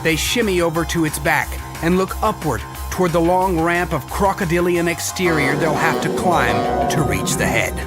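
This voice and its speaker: A man's voice: fairly high pitch, moderate pace, few pauses. A man narrating a comedy audio drama, with wry asides to the listener. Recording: loud music bed behind.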